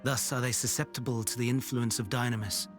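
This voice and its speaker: A man acting as an ancient, learned figure in a fantasy game. He explains things gravely and formally, in measured phrases at a middling pitch. Soft music plays underneath. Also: steady tone, natural voice. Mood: grave, thoughtful. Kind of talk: video game cutscene acting.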